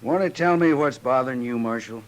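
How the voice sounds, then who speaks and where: An American actor playing a hard, self-satisfied, sharp-dealing cattle baron in an old-time radio western. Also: male voice, medium pitch, brisk, natural voice. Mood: smug, unyielding.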